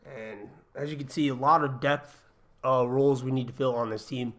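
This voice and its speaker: A young man with a slightly raspy voice from a cold, chatting casually through a gaming livestream from his apartment, thinking aloud with ums and uhs. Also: mid-range voice, fairly even intonation, moderate pace, a bit bright. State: getting over a cold, a little raspy. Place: home apartment.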